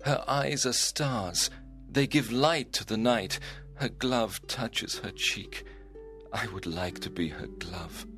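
A man voicing Romeo, an ardent, romantic young man, speaking softly and tenderly for an audiobook drama. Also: lowish voice, takes it slowly, soft background music. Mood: dreamy. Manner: soft and hushed, tender.

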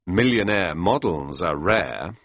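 A man's voice: low-pitched, slow, no echo, pitched below the performer's own voice, heavily acted. A man doing a wry, teasing young painter. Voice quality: wry, relaxed. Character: amused young male painter, teasing and easygoing.

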